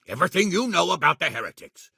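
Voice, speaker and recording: A man playing a blunt, hot-tempered middle-aged medieval lord in a video game, with a raspy, gravelly voice and an authoritative manner. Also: a little high, very animated, fast talker, bright, forceful tone, no echo, exaggerated voice, low-quality recording. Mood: stern, angry. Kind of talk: video game character acting.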